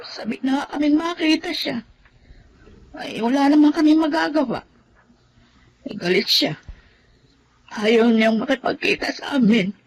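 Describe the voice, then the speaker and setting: A woman's voice: sits high, expressive, a little slow, long pauses, slight background noise, poor audio. A woman acting in a Filipino radio drama as a sorrowful middle-aged mother longing for her estranged son. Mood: sad, resigned.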